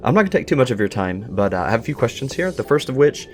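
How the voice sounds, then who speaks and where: A man's voice: medium pitch, sing-song, rapid-fire, darkish tone, slight background noise. A man hosting a casual interview, speaking politely and a little hesitantly. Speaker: talk show host, interviewer. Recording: music under the voice.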